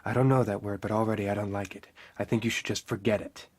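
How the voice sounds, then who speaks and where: A man's voice: little pitch movement, fast, darkish tone, a little hiss or hum, natural voice. A man playing a wary, guarded secret lover in an audio drama, speaking tersely and with restraint. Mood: wary, warning. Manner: low-key, terse, guarded. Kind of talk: understated audio drama acting.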